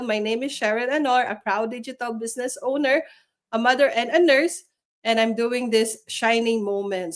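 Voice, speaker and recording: Woman hosting a live stream, upbeat, kinda salesy, voice a little high. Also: a bit bright. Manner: upbeat, persuasive, with frequent fillers. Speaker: host. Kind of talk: livestream interview.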